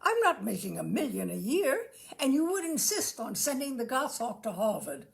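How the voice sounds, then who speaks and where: A woman's voice: a little high, very animated, normal speed, vocal fry, heavily acted. A woman voicing an exasperated father of a family, complaining indignantly to his wife in a comic story reading.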